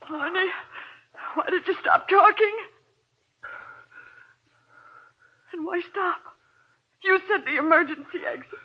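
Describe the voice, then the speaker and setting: Casting: terrified clinging wife, very high tremulous voice, pleading, vintage radio horror, dull lo-fi sound. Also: female voice, moderate pace. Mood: anxious, fearful. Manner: pleading, tremulous, breathless questioning. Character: frightened, clinging wife trapped in dark tunnels. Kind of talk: 1940s radio horror acting. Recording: dull, lo-fi old radio recording.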